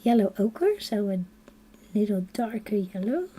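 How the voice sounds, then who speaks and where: Slow, mellow Dutch-accented woman. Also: mid-range voice, very animated. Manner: soft-spoken and unhurried, drifting into quiet half-whispers. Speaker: coloring channel host. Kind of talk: casual chat while coloring on a live stream.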